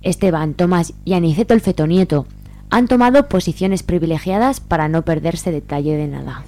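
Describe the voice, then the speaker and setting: A woman's voice: on the low side, a little fast, a little mellow, soft background music. A woman from reading scripted narration for a radio serial. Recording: faint hum and noise.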